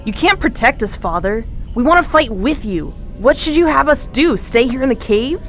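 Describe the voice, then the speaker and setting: A woman acts an eager young boy crime-fighter in a radio play. The voice is fairly high and insistent, with faint music behind. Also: lively intonation, moderate pace. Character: eager, insistent young boy hero pleading with his father. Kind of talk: amateur superhero radio drama acting.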